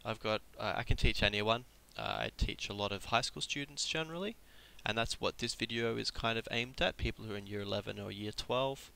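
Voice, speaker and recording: A man's voice: fairly low pitch, a little fast, creaky, few pauses, sharp tone, low-quality recording. A man with a cold giving a casual live-streamed Japanese lesson, explaining step by step with frequent ums and restarts. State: has a cold. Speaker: Japanese tutor.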